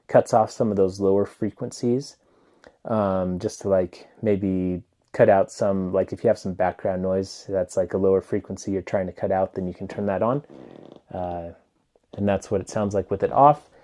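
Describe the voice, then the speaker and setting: A man casually reviewing a wireless mic in a relaxed, rambling way, with lots of "um" and "like", speaking into a clip-on mic plugged into his phone. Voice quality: low voice. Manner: rambling, filler-heavy, relaxed. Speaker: tech reviewer.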